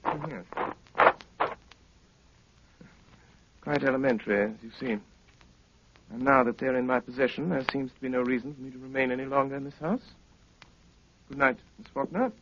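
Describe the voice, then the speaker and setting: An actor playing a cool, gallant gentleman detective in formal, measured 1930s radio style. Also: medium pitch, natural voice. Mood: composed. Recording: muffled, noisy 1930s radio broadcast.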